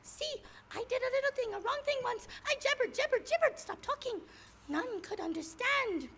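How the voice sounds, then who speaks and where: A woman playing an excitable, simple-minded half-human beast creature in broken, repetitive exclamations in a live radio drama. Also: very high-pitched, normal speed, heavily acted. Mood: fearful, fervent. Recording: noisy live hall recording with crowd.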